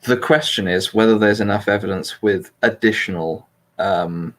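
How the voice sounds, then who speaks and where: A man's voice: fairly low pitch, normal speed, fairly bright tone. A man hosting a casual livestream political discussion in an analytical way, qualifying his points carefully. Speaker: livestream host.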